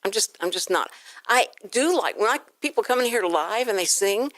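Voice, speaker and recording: A woman's voice: medium pitch, animated, normal speed, fairly bright tone, dry recording. A woman from Houston, Texas, hosting a casual radio interview in an effusive, rambling, anecdotal way. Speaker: talk show host. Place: radio studio.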